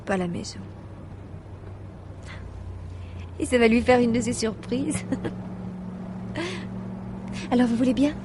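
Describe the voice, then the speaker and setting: Casting: actress for anxious married traveller in radio mystery, fairly high voice, halting delivery, faint vehicle noise behind. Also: female voice, mellow, lightly acted. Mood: wistful. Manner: hesitant, breaking off and restarting sentences. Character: anxious, hesitant married woman traveller confiding in her driver. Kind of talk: French radio mystery drama acting.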